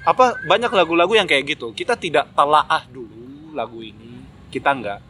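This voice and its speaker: A man's voice: fairly high pitch, moderate pace, pushed and bright. A man in a casual, opinionated discussion, speaking emphatically and critically and repeating phrases to drive points home. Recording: noticeable background noise.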